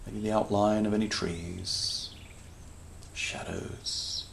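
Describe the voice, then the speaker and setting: A man leading a guided meditation in a calm, soothing manner, leaving long pauses between short phrases. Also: on the low side, gravelly, a little hiss or hum. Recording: nature ambience with birdsong behind voice. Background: natural sounds, birds.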